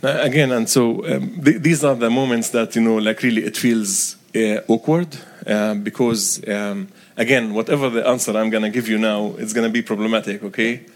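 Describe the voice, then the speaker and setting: A man's voice: on the low side, fairly even intonation. Middle-aged man with a Palestinian Arabic accent. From Galilee, Israel. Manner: halting, careful, earnest phrasing. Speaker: bishop, interview guest. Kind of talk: reflective interview answers.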